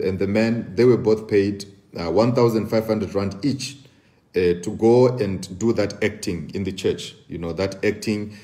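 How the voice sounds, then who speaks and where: A man's voice: on the low side, warm tone. A man in his thirties talking live to camera indoors, earnest and full of fillers and restarts. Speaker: host. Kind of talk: casual live commentary. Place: indoor room at a table.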